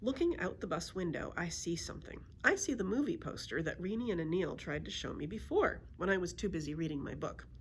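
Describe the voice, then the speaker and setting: A woman's voice: on the low side, expressive, fairly quick, hardly any pauses, a little hiss or hum. A woman reading a children's book aloud as a storyteller, expressively acting out the dialogue.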